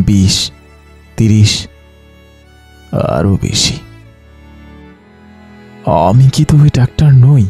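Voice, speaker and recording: Man playing cartoon village doctor, low voice, dark mellow tone, weary, despairing, heavy delivery. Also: breathy edge. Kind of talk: animated horror story acting.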